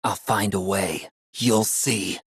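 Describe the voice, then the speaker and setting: A man's voice: fairly low pitch, takes it slowly, breathy edge, no room sound, clean studio sound. A man playing a brave, cocky young soldier hero in a video game, casual and earnest, loyal and defiant. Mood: anguished, determined. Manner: casual, wisecracking, earnest, expressive.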